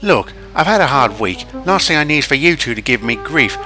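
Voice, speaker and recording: A man voicing a tired, sharp-tongued small male engine. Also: mid-range voice, sing-song, brisk, soft background music, pitched above the performer's own voice. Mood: weary. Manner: weary and sarcastic.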